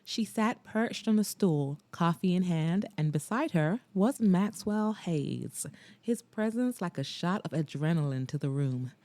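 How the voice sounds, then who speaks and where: A woman hosting a podcast and narrating an audiobook in a dramatic, expressive way, with slow emphatic pauses. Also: on the low side, no room sound.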